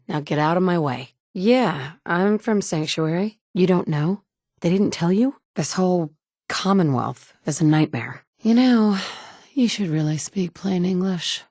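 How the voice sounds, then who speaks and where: A wry woman survivor from before the war, dry and sardonic with flashes of care. Her voice sits low and comes through a close studio mic with a dry, roomless sound. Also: dark tone, no room sound. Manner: dry and sardonic, shifting between blunt and caring. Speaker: player character. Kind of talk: game dialogue lines.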